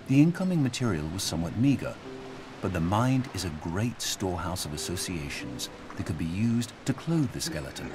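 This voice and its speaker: A man acting as a thoughtful reader of philosophy in a game audio log, deliberate and carefully articulated, in a measured literary style. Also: deep voice. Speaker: audio log narrator reading a philosophical passage. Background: outdoor game ambience.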